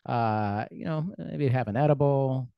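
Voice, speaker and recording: A man hosting a podcast, talking in a relaxed, rambling way with frequent fillers. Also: medium pitch, unhurried, creaky, clean tone, no echo, muffled recording. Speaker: podcast host. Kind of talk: casual podcast conversation.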